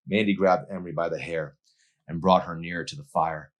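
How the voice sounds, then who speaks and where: Super deep voice guy doing intense dramatic audiobook narration. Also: steady tone, fairly quick. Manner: intense, theatrical. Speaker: author reading his novel aloud.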